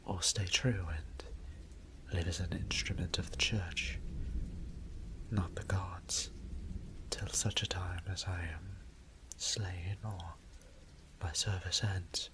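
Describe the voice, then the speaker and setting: A man playing a weary, tender vampire priest in an intimate audio roleplay, whispering breathily with halting, formal speech. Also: deep voice, fairly even intonation. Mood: resigned. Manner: hushed, halting, formal wording. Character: weary, tender vampire priest, adult male, troubled exile.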